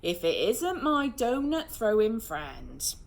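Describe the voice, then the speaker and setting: A self-satisfied police sergeant with a smirk in every line, as a woman narrator puts him on. He talks loudly and drily, his voice rising and falling widely, fond of tired sayings. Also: very high-pitched, wildly swooping pitch, slow, gravelly, slight background noise, pitched above the performer's own voice, exaggerated voice. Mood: smug. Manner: smirking, cliche-loving, dry. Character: smug middle-aged male police sergeant.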